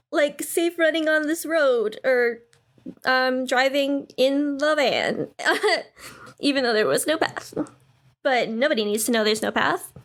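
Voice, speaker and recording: A young adult woman, a player, chatting in an effusive, giggly way. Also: high voice. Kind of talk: casual chat.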